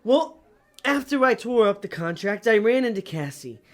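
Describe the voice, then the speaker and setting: A man playing an earnest, soft-hearted damned soul in an audio drama, hesitant and apologetic. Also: high voice, very animated, normal speed. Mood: sheepish.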